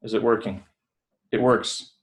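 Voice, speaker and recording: A man with a fairly low voice hosts a podcast from a building away from home, talking over a video call. He is chatty and friendly, wandering through his points with plenty of fillers. He is from Canada. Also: fairly even intonation, unhurried. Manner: rambling, filler-heavy, friendly. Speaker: podcast host. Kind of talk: casual interview chat. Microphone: headphones on a video call.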